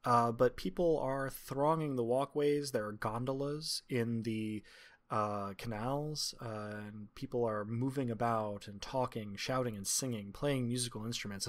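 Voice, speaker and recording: Male game master describing a scene. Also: lowish voice. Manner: descriptive, wordy, literate phrasing. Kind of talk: improvised narration of a tabletop roleplaying game.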